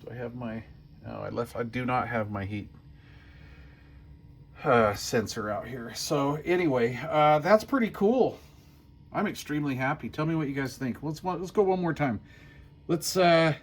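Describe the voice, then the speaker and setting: A man giving a casual hands-on demo as a hobbyist host, folksy and thinking out loud. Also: medium pitch, animated, moderate pace. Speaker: hobbyist host demonstrating a homemade power supply. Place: workshop bench.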